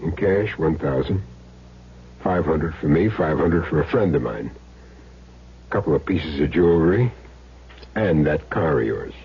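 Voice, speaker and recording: A man's voice: extremely low pitch, expressive, unhurried, long pauses, breathy edge, heavily acted. An actor playing a cool, terse, matter-of-fact hitman in a 1970s radio drama. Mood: cool, firm. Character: Jim Derry, cool laconic hitman back in town. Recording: dull lo-fi old radio recording.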